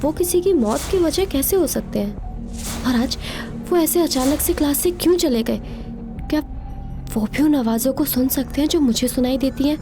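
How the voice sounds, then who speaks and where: A woman's voice: high-pitched, normal speed, music in the background, voice pushed up. A woman voicing a timid, curious young college student in a horror audio drama, talking hesitantly and wondering aloud to herself. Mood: uneasy wondering.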